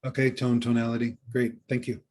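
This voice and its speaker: Halting, mid-pitched man co-hosting a podcast. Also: monotone, a little mellow. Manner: halting, circles back and seeks agreement. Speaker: podcast co-host. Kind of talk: casual conversational coaching.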